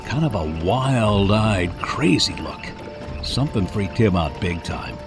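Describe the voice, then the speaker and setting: A man's voice: fairly low pitch, expressive, unhurried, over music. A man playing an uneasy farm-raised man in an audio drama, with folksy, suspenseful delivery. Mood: folksy, ominous. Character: uneasy farm-raised man telling spooky childhood tales.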